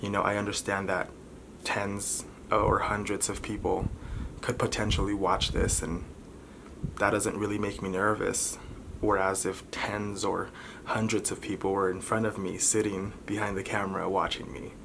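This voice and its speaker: A man in his twenties talking candidly to camera as a vlogger, halting and pausing often between short phrases. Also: fairly low pitch, little pitch movement. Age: 20s. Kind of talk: candid personal talk to camera. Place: bedroom, indoors. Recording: clearly noisy room recording.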